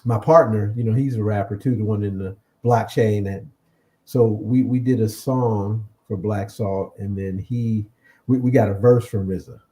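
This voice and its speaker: Low, dark-voiced man as interview guest. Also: steady tone, normal speed. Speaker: interview guest, comic creator. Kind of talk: casual interview chat.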